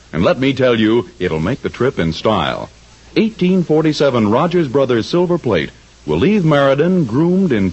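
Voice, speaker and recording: Husky guy doing old 40s radio ad, very lively salesy pitch swings, muffled sound. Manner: polished, grandly salesy. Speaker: radio announcer. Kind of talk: 1940s scripted radio ad read. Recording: dull vintage radio recording.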